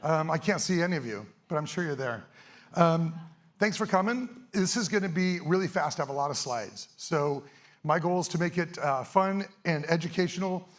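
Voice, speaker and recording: Hoarse middle-aged guy rushing through a stage talk, lots of ums, kinda high voice. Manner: fast, energetic, casual with many ums. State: a little hoarse. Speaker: event speaker, sports photographer. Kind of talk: live presentation to an audience. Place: event stage.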